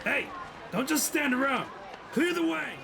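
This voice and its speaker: Man acting a high-pitched, bossy policeman. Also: lively intonation, unhurried, creaky, noisy background, exaggerated voice. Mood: bossy, annoyed. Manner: curt, barking orders. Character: bossy, shouting male policeman. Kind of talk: audio drama acting. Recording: loud music under the voice.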